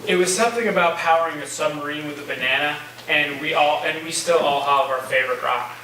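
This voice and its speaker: A man's voice: fairly high pitch, quick pace, lightly acted. A man playing an easygoing, curious college student with a casual, slightly hesitant delivery in a staged reading. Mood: eager, puzzled. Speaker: actor in a staged play reading. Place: live staged reading in a reverberant room. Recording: echoey room, distant mic, faint noise.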